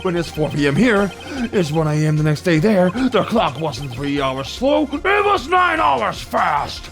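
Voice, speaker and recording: A man voicing an excitable young male defence lawyer in a comic game reading, melodramatic and prone to faltering. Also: high-pitched, measured pace, creaky, soft background music, full character voice. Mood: triumphant. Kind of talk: comic amateur video game dramatic reading.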